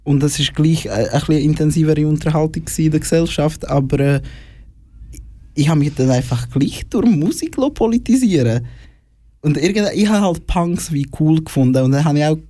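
Young man with a dark, mellow voice. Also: a little high, moderate pace, no echo. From former Eastern Bloc. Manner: hesitant, many fillers, laughs often. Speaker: guest. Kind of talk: casual studio conversation. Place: studio.

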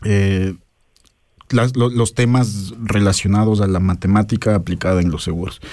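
Mellow young Ecuadorian man, studio guest. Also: lowish voice, fairly even intonation, moderate pace, no echo. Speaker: interview guest, researcher. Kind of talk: casual interview answers.

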